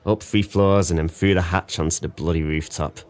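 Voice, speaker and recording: A very low voice belongs to a guilt-ridden, hard-drinking ex-sailor acted by a man. He speaks in bleak, theatrical, poetic phrases, as in a radio play. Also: steady tone, normal speed, a little mellow, lightly acted. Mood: despairing. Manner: brooding, poetic, theatrical monologue. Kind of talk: audio drama acting.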